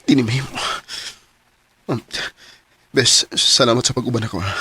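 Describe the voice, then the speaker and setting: A man with a Cebuano accent voicing a heartbroken young man in a radio drama, talking softly and earnestly. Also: mid-range voice, moderate pace, slightly rough, no echo. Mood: calm. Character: heartbroken young man betrayed by his girlfriend. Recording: radio drama with music underneath.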